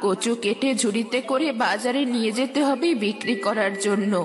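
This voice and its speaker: A woman's voice: a little high, moderate pace, in a large hall, natural voice. A woman voicing a stubborn, self-reliant old village woman in a children's cartoon. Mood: grumbling. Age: older. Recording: music behind the voice.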